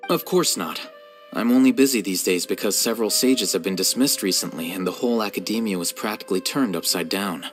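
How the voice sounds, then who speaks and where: An actor voicing an aloof, intellectual male scholar, calm and measured, with a dry, matter-of-fact manner in a video game. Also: fairly low pitch, soft background music, natural voice. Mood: calm, explanatory.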